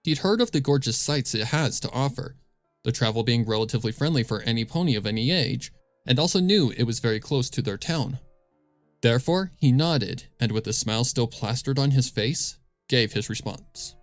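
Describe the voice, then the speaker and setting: A man reads a scripted story as narrator. His voice is of medium pitch, recorded close and dry, with music underneath. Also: animated, moderate pace, no echo. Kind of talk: scripted fan fiction narration.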